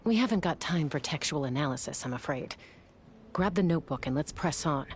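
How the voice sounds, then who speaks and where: Brisk, low-voiced game heroine. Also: female voice, animated, a little hiss or hum. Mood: focused. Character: brisk, determined heroic young female adventurer. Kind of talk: video game voice acting.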